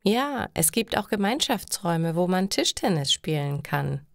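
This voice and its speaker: A woman voicing a cheerful, contented young female student in a storybook, with slow, friendly phrasing. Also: lowish voice, sing-song, gravelly, dry recording, studio quality, full character voice.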